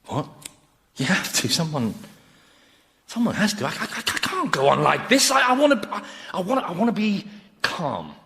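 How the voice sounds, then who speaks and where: A man playing a shifty, unrepentant rogue in a radio sitcom, defensive, whining and excitable. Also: high-pitched, normal speed, creaky, heavily acted. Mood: desperate. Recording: hall reverb.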